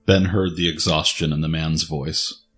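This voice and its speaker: A man's voice: rumbling low, level tone, moderate pace, fairly bright tone, soft background music. A man narrating a scripted dramatic story as the narrator of an audio drama.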